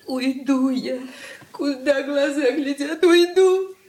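An actress playing a wretched, bitter young married daughter in a Soviet radio drama. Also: female voice, high-pitched, takes it slowly, darkish tone. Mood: tearful, bitter.

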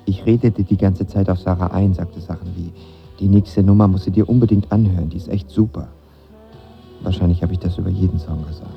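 A man's voice: sits low, steady tone, a little fast, vocal fry. An actor playing a lovestruck, nervous young man who tells his story in casual, slangy radio drama narration. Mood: eager, self-deprecating. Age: young adult. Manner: casual, slangy, self-deprecating. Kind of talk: first-person radio drama narration with dialogue. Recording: slightly dull audio with music behind.

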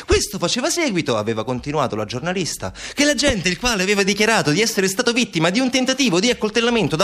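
A man delivers a theatrical monologue in a slightly high voice. He is an expressive storyteller who shapes his phrases deliberately. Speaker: stage actor and storyteller.

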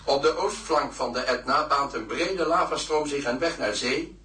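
A man's voice: a little high, moderate pace, bright, forceful tone. An actor playing a composed male newsreader who formally reads a disaster bulletin, heard through a radio set. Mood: composed, official. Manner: formal, factual. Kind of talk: acted radio news bulletin. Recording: distant, echoey radio with faint noise.

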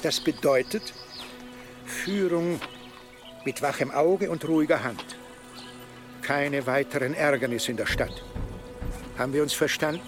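An actor playing an imperious, irritated medieval archbishop in a German radio drama, speaking in a formal, sardonic manner. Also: male voice, on the high side, unhurried, long pauses, close to an everyday voice. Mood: stern, commanding. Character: imperious, irritated medieval archbishop giving orders to his deputy. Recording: music behind the voice.

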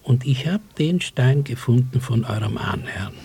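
An actor playing a mild, reflective widowed village man in a radio play, with a measured, hesitant delivery. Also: medium pitch, expressive, a little mellow, faint music behind, heavily acted. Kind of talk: German radio play acting. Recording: clearly hissy cassette recording.

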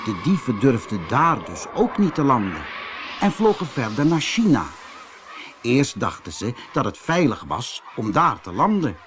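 A man with a Netherlands Dutch accent narrating a scripted children's fairy tale in an expressive storytelling manner. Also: medium pitch, measured pace, a little hiss or hum.

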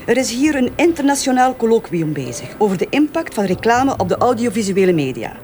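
An actress playing a snippy, officious Flemish hotel receptionist in a radio drama, speaking curtly. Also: female voice, a little high, very animated, a little fast, darkish tone, a little hiss or hum. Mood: guarded, impatient. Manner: curt, officious. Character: brisk, snippy female hotel head receptionist. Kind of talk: naturalistic radio drama acting.

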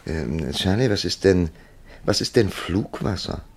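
Casting: pensive author-narrator for radio play, very low register, slow deliberate delivery. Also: male voice, lively intonation. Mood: curious. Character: thoughtful male writer narrating his own puzzling encounter. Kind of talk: German radio drama acting.

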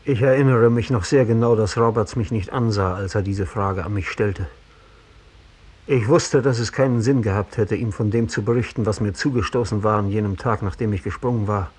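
An actor playing a sensitive, disgraced gentleman in a 1960s German radio play, confessing in measured, literary phrases with a husky voice. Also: on the low side. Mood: haunted recollection.

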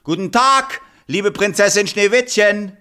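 An actor playing a cheeky, naive, boastful clown in a fairy-tale radio play, exclamatory and clownish. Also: male voice, high-pitched, measured pace, sharp tone, clear, resonant tone. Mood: eager, insistent. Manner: exclamatory, clownish, nonsense catchphrases.

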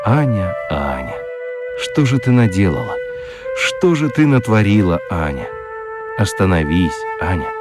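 A man narrating a children's radio play as a dramatic storyteller, with expressive, deliberate literary phrasing. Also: sits low, loud music behind the voice. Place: dry studio.

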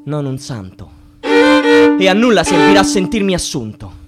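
Despairing student in a radio play, poetic and declamatory, high voice that swings all over, violins behind. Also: male voice, big pitch swings, measured pace, over loud music. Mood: feverish, defiant. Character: despairing student trapped in a system, praying in vain. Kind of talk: theatrical radio drama monologue.

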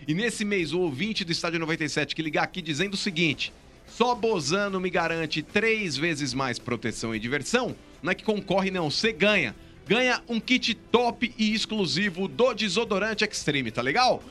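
High-voiced São Paulo man on sports radio. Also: expressive, normal speed, sharp tone, soft background music. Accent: Brazilian, São Paulo. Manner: slangy, matey. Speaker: radio sports show host. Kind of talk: casual sports radio banter and live ad reads.

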